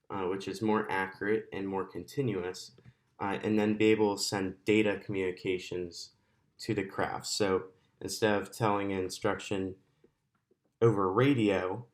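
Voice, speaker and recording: A man giving an explanatory slide presentation as a student presenter, pausing often in mid-sentence. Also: fairly low pitch.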